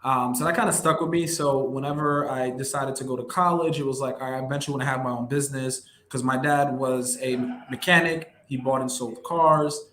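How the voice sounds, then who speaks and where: A man's voice: mid-range voice, steady tone, fairly quick, few pauses, fairly bright tone. A young man from New York City, a wealth management advisor, talking casually and at length as a guest, with lots of fillers and an upbeat, self-promoting manner. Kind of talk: casual interview conversation. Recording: roomy echo with faint background hum.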